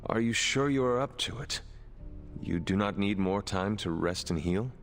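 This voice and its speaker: A man playing a stoic, probing warrior hero in a video game cutscene, with a gravelly voice and formal, deliberate speech. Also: fairly low pitch, soft background music, close to an everyday voice. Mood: cautious, caring. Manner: formal, deliberate, few contractions.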